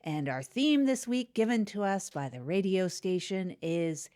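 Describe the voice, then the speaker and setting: Woman playing an earnest public access radio co-host, lowish voice, sorry about everything, keeps repeating herself. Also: lively intonation, warm tone. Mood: businesslike. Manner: apologetic, halting, repeats phrases. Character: earnest, easily tearful co-host of a small public-access show. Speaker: podcast co-host. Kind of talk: improvised comedy radio chat.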